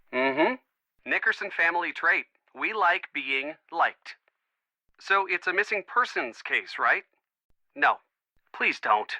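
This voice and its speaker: A man's voice: a little high, pushed and bright, no echo, rough recording. An actor playing a friendly, earnest young man in a video game, chatty and reassuring as if on a call. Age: young adult. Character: friendly young man, earnest, bookish, supportive boyfriend type. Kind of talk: video game voice acting, phone conversation.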